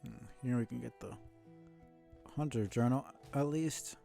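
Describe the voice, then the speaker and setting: A man's voice: medium pitch, a little slow, vocal fry, dark tone, faint music behind. A man giving casual live commentary on a video game, muttering in a laid-back, mildly grumbling way. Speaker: game streamer.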